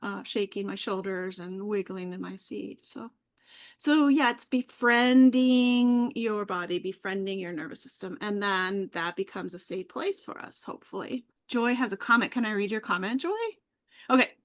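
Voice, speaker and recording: A woman's voice: medium pitch, normal speed. A woman guest talking casually on a live video call. She sounds easygoing and chatty, and laughs readily.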